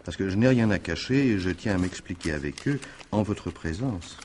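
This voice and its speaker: An actor playing a polite, quietly insistent male stranger in a French radio mystery in 1950s style, measured and formal. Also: deep voice, lively intonation, slight background noise. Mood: politely insistent. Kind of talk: French radio drama acting in 1950s manner.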